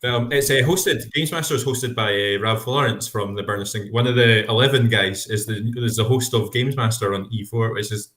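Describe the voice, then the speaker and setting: A man's voice: fairly low pitch, normal speed, few pauses, fairly bright tone. A man co-hosting a podcast in casual chat over a video call, rambling through restarts and asides. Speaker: podcast co-host. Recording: echoey room.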